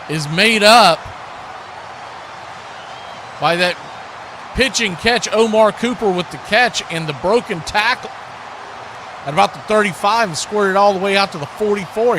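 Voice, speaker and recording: Man, live football play-by-play, fairly high pitch, clipped phrasing, noticeably noisy stream audio. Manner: clipped phrases, raises voice on big plays. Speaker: play-by-play commentator.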